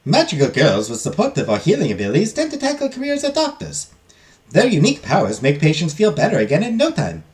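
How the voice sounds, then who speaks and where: A man's voice: on the high side, huge pitch swings, fast. Iselin Arcane, a man doing a lecturing magical-girl teacher. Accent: put-on Spanish-like accent. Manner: formal, lecturing, dramatic. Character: prim female magical-girl academy teacher. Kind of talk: reading game dialogue aloud.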